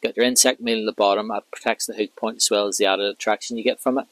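A man in his thirties, a fishing vlogger, calmly explaining each step of his rig while working outdoors by a lake with a clip-on mic. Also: lowish voice, fast, no room sound. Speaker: carp angler vlogger. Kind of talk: casual how-to commentary.

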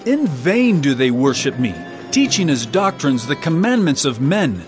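A man's voice: fairly high pitch, big pitch swings, a little slow, music in the background. An actor playing an authoritative, reproving male teacher in a dramatized scripture reading, with emphatic, declamatory delivery. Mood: stern reproach.

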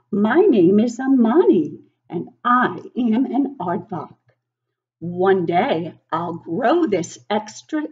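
A woman in her sixties reading a picture book aloud to young children, expressive and exaggerated, often putting questions to the listeners. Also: mid-range voice, dull audio. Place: living room at home, indoors.

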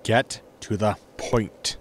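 An actor playing a grumpy, money-minded spaceship captain, impatient and sardonic, in a sci-fi comedy audio drama. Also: male voice, on the low side, fairly even intonation, slow, slight background noise. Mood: puzzled, impatient.

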